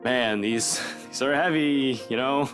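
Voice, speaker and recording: A man's voice: medium pitch, takes it slowly, music in the background, close to an everyday voice. An actor playing an awkward, cocky male cop in a visual novel game, given to hesitant phrasing. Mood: flustered, blustering.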